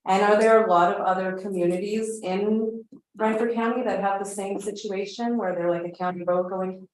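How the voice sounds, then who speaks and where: A woman's voice: medium pitch, fairly even intonation, normal speed, a little hiss or hum. A woman speaking informally as a council member, putting questions to officials at a meeting. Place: meeting room heard over a video meeting. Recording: very echoey meeting room.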